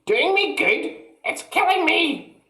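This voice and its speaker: A shrill, nasty old grandmother, as a male storyteller puts her on, screeching orders in a voice pushed far above his own. She is bossy and cantankerous. The reading sounds roomy and echoey. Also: big pitch swings, moderate pace, sharp tone, full character voice. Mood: screaming. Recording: roomy echo.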